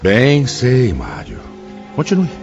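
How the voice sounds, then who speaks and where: A Brazilian actor playing a solemn, kindly spirit mentor in a radio drama, speaking in a measured, formal, sermon-like way. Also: male voice, medium pitch, wildly swooping pitch, gravelly, heavily acted. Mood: gentle, paternal. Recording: dull lo-fi audio with background music.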